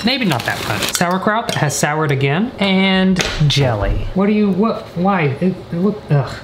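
A man in his twenties chatting through a comedic cooking vlog with deadpan, joking asides, recorded on a camera mic in a kitchen. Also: sits high, unhurried. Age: 20s. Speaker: vlogger. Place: indoors, small apartment kitchen. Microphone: camera mic at a short distance. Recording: roomy kitchen echo, background noise.

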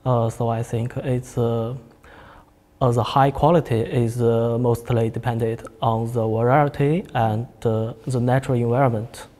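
Young student guy on a panel, kinda hesitant, soft dark tone, echoey room. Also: mid-range voice, level tone, a little slow. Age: early 20s. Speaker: student guest, coffee club leader. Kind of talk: panel discussion. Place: large indoor cafe-like room. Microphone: lapel.

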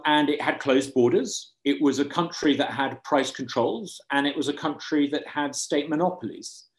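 A middle-aged man from Uganda making persuasive panel remarks over a video call, earnest and emphatic, repeating words for stress. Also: mid-range voice. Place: indoor room, video call. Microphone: video call microphone.

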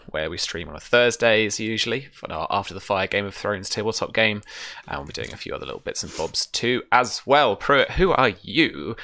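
A British man with a low, bright voice runs a tabletop game stream. He talks informally and reassuringly as he goes through the rules. The sound is close and dry. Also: no echo. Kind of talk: casual livestream chat explaining a tabletop game. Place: small room at home, on a video call.

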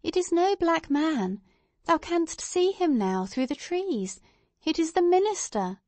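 A woman's voice: sits high, a little slow, no echo, pitched above the performer's own voice. A woman voicing a sombre, firm mother in a storybook, speaking in an earnest, admonishing way with archaic phrasing. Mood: impatient, firm.

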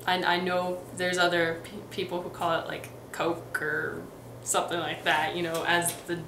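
A woman's voice: on the low side, completely flat delivery, moderate pace, echoey room, noisy background. Chatty young woman with a light Midwestern accent. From Texas and Missouri, US. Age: young adult. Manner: chatty, many ums and restarts. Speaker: vlogger. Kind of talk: casual accent tag vlog. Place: bedroom, indoors.

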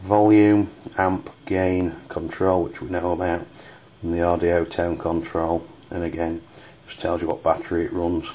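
Low, monotone Englishman, halting delivery. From United Kingdom. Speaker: amateur radio reviewer. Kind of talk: unscripted product review. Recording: dull lo-fi audio with background noise.

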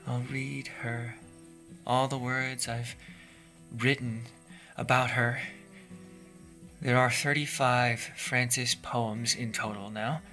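Slow, wistful lonely youth. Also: male voice, medium pitch, level tone. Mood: dreamy, devoted. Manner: wistful, confiding, unhurried. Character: lonely, lovelorn, secretive youth musing alone. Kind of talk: audio drama monologue. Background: soft plucked guitar music.

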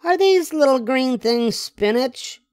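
A stubborn little girl played by a man whines her way through a storybook. The voice sits high and swings up and down emphatically, fussy about everything, recorded close and dry. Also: lively intonation, takes it slowly, smooth, no echo, heavily acted. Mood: petulant. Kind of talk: storybook character voice.

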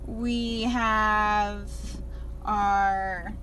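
A young woman talks casually, as if vlogging to friends. Her voice is bright and her pace is easygoing and slow. She is recorded inside a car with faint noise around her. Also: mid-range voice, little pitch movement, rough recording. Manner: informal, chatty. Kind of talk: casual vlog chat to camera.

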